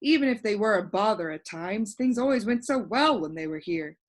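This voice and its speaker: A woman's voice: medium pitch, lively intonation, normal speed, lightly acted. A woman as a grand, sorrowful storybook king. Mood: wistful. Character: grand, commanding yet sorrowful grown male storybook king.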